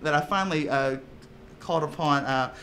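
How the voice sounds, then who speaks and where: Man, bright tense voice, slightly high, animated and laughing, stumbling restarts, casual interview, faint noise behind. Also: normal speed. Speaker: tabletop player and voice actor.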